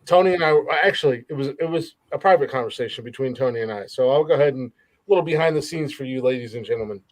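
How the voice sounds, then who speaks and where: A man's voice: mid-range voice, fast, rough recording. A man with an American accent hosting a casual chat show, blunt and given to swearing. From United States. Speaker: podcast host.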